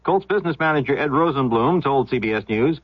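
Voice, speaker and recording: A man's voice: mid-range voice, expressive, fast talker, dry recording. 1970s radio newsman, dull AM sound. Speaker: radio news anchor. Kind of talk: scripted newscast reading.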